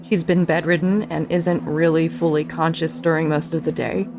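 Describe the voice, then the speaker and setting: A woman's voice: deep voice, little pitch movement, normal speed. An actress playing a guarded, skeptical young woman in an audio drama, challenging and questioning what she hears. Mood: worried, guarded. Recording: clearly noisy background ambience.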